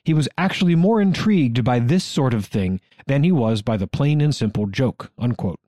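A man's voice: mid-range voice, animated, normal speed, dark tone, no echo, clean studio sound. A man with an American accent, co-hosting a history podcast with scripted but conversational narration. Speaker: podcast co-host.

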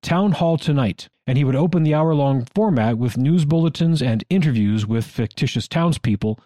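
A man's voice: a little high, expressive, moderate pace, dark tone, dry recording. A man co-hosting a podcast, telling history in a scripted but conversational style. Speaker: podcast co-host.

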